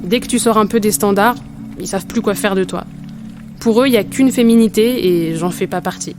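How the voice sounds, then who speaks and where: A woman's voice: mid-range voice, a little fast, over music, close to an everyday voice. A woman acting as a discouraged young runner in a fiction podcast, speaking in a frank, colloquial and earnest way.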